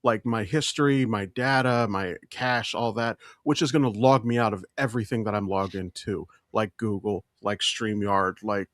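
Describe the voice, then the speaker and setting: A man's voice: fairly low pitch, moderate pace, hardly any pauses, a bit bright, no echo, clean studio sound. A man co-hosting a podcast in casual pop-culture chat, emphatic and opinionated, swearing freely. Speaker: podcast co-host.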